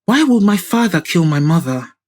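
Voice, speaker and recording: A woman's voice: lowish voice, sing-song, moderate pace, a little mellow. Composed, pointed young heroine, voiced by a woman. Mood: cool, accusing. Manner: cool, polite, pointed. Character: composed, determined grown daughter questioning a hostile man. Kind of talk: dramatised audiobook dialogue.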